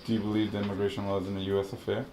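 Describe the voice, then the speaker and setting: A man interviewing students, asking brisk, direct questions. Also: on the low side, flat delivery. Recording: loud street noise.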